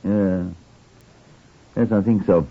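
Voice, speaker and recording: An actor playing a shrewd, courteous police superintendent who puts clipped, probing questions in an old radio drama. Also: male voice, fairly low pitch, steady tone, slow pace. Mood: musing, businesslike. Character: shrewd, courteous male Scotland Yard chief superintendent. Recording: muffled, band-limited vintage radio recording.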